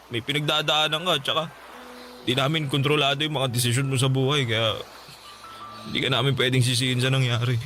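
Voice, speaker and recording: A man's voice: medium pitch, little pitch movement, brisk, sharp tone, soft background music, natural voice. An actor playing a sorrowful young male friend in an emotional radio drama, with a Filipino accent. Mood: somber, resigned.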